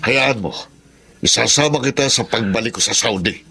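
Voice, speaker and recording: A man's voice: medium pitch, normal speed, gravelly, bright, forceful tone, a little hiss or hum. An actor playing an avuncular, approving Filipino man who helps a younger friend, in a TV drama. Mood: approving, encouraging. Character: encouraging mature male mentor, helpful overseas welder's colleague.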